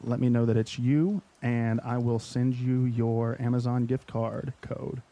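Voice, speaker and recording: Mellow male host, upbeat and encouraging with guests. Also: medium pitch, little pitch movement, a little slow, vocal fry. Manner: friendly, enthusiastic, reads chat questions. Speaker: live stream host. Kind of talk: casual interview chat.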